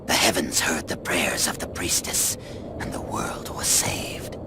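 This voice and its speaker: Cocky goblin warrior, gravelly, slow drawn out delivery, dry and defiant, video game. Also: male voice, rumbling low, sing-song, in a whisper, full character voice. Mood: wry, reflective. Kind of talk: dramatic video game cutscene acting. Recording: music behind the voice. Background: game soundtrack music.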